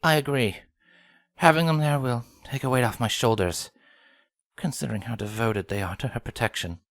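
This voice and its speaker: An actor voicing a regal, protective pony sun princess, composed and sorrowful, with measured, formal delivery in a dramatic fan fiction reading. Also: mid-range voice, dry recording, close to an everyday voice. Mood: gentle, formal.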